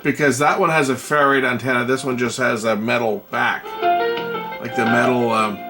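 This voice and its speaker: A man giving casual, hesitant commentary, thinking aloud as he works hands-on at a repair job. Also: medium pitch. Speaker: host of a radio repair video. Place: indoor electronics workbench.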